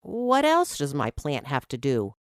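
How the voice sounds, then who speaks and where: A woman's voice: low voice, huge pitch swings, unhurried, no echo, clean studio sound, exaggerated voice. A woman voicing a young schoolboy, glum but curious and eager, in a storybook reading.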